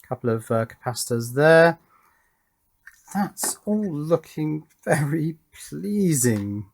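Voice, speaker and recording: A man's voice: a little high, very animated, slow, creaky. A man giving a casual hands-on electronics demonstration, musing aloud with pleased enthusiasm as he works. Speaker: electronics hobbyist presenter.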